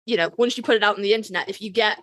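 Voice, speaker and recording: A woman's voice: mid-range voice, very fast, fairly bright tone, no echo. A woman co-hosting a podcast in a casual, effusive and emphatic way. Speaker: podcast co-host. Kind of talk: casual chat and podcast sign-off.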